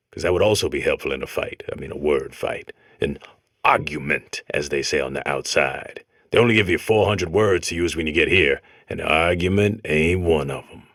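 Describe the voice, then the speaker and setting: A man's voice: very deep voice, a bit bright, dry recording, clean studio sound. An American man voicing a comic game robot that talks like a grizzled old convict, lecturing in folksy, tough prison slang. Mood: world-weary, lecturing. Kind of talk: comic game character monologue.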